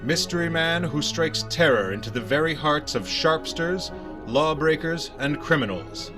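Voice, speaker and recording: A man playing a dramatic 1930s radio announcer and sponsor's pitchman. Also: lively intonation, measured pace, over music.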